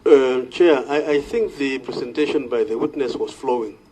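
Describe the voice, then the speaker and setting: A man with a Kenyan accent speaking formally as a committee senator, measured and hesitant, often repeating words as he goes. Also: medium pitch, noisy background. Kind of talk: formal parliamentary debate.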